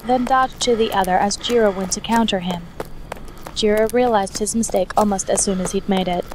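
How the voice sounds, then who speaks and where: Woman narrating a story over noticeable noise. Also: mid-range voice. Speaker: fan fiction reader, narrator. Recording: noisy hobby recording, steady background noise.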